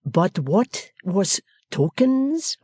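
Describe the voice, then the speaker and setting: A narrator voicing a courteous, puzzled gentleman who speaks hesitant, broken English. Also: medium pitch, very animated, extremely slow, slightly rough, no room sound, clean studio sound, voice pushed up, exaggerated voice. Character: politely puzzled French gentleman guest.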